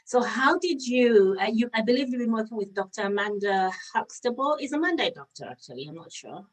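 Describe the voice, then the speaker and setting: A woman's voice: on the high side, lively intonation, fairly quick, recorded from a distance. A woman hosting a conversational interview in an informal, encouraging way. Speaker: interview host.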